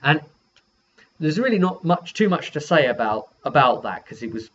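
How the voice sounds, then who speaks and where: A man's voice: a little high, big pitch swings, moderate pace, fairly bright tone. A man speaking as a game master, casually rambling through a recap of a session and acting out players' reactions as he goes. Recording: muffled lo-fi with background hiss.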